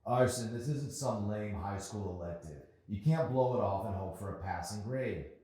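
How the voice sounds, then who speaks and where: A man's voice: fairly low pitch, moderate pace, mellow, voice pushed up, lightly acted. A man voicing a spiteful, sarcastic young female coworker while reading a novel. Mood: scornful. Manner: sarcastic, scolding. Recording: very echoey room.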